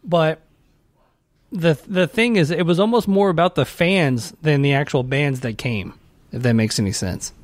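A man with a somewhat higher voice gives casual podcast commentary. He explains things as he thinks aloud. The sound is close and dry, with very little room. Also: moderate pace, dry recording. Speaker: podcast co-host reviewing a music documentary.

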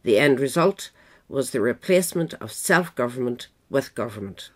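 A woman narrating a scripted political history essay aloud in a measured, formal manner. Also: very deep voice, animated. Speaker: audiobook reader.

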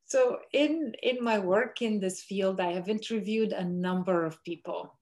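A middle-aged woman from Bulgaria, a professor answering interview questions over a video call from a home room. She talks in a measured, thoughtful way, pausing on fillers as she builds her points. Her pitch sits in the middle range. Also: darkish tone. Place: home room with window blinds.

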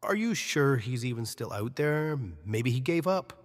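A man's voice: medium pitch, lively intonation, a little slow, natural voice. An actor playing a servile, nervous villain's henchman in an audio drama, hesitant and appeasing. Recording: long hall reverb.

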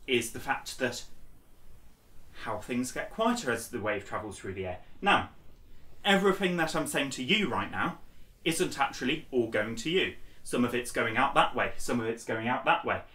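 A man in his twenties gives an informal lesson in a mid-range voice, explaining one step at a time. He sounds a little way from the microphone. The small room adds a clear echo around his words. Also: mid-range voice, pushed and bright. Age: 20s. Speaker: instructor. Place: small bare indoor room. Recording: echoey room, distant microphone.

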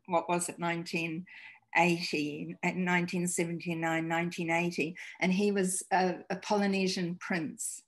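A woman in her sixties from New Zealand, a school principal telling stories in a video-call interview, halting and restarting. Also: lowish voice. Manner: warm storytelling, halting restarts. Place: home room, indoors. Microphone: video call webcam.

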